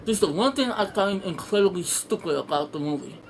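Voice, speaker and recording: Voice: medium pitch, animated, a little fast, gravelly. A casual video reviewer with a mid-range voice rambles through strong opinions. The delivery is blunt, sarcastic and sweary. Faint room noise and ambience sit behind the voice. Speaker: film reviewer, video host. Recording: noisy home room with background ambience.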